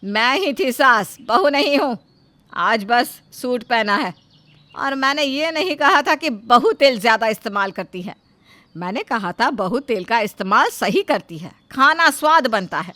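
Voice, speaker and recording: A woman's voice: a little high, expressive, unhurried, pushed and bright, no room sound. A woman playing a feisty older mother-in-law in a story, indignant and emphatic.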